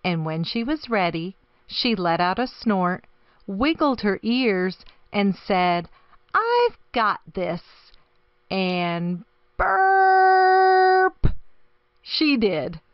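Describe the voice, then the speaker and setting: A woman reading a children's picture book aloud expressively, with deliberate, dramatic phrasing. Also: medium pitch, sing-song, no echo, dull audio. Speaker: storybook reader.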